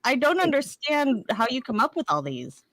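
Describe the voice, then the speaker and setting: A woman's voice: high voice, big pitch swings, moderate pace, fairly bright tone, rough recording. A woman co-hosting a live podcast in a bubbly, giggly way, often saying "like". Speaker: podcast co-host. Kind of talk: casual live chat.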